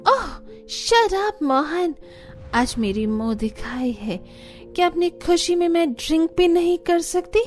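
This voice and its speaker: Woman acting a insolent modern young wife, high-pitched, slow drawling delivery, taunting, cartoon melodrama, music behind. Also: expressive, full character voice. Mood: scornful, defiant. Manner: taunting, mixes English words into Hindi. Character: brash, drunken, insolent young modern daughter-in-law. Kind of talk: Hindi cartoon family drama acting.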